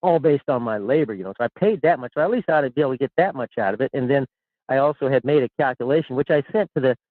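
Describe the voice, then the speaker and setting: A man's voice: medium pitch, lively intonation, racing, no echo. A man in his sixties calling in to a radio show, rambling and repeating himself. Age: 60s. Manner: rambling, repeats words, chuckles. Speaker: caller. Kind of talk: call-in radio chat. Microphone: telephone. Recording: tinny phone line.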